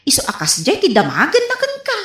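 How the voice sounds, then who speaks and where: Radio drama: coaxing, chiding Ilocano wife, high-pitched, lively melody, roomy sound with music. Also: female voice, fast talker, exaggerated voice. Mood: gently chiding. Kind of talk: comedy radio drama acting.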